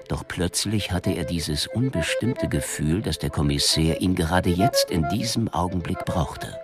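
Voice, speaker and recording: A man narrating a radio crime drama from a script, in a measured storytelling manner. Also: sits low.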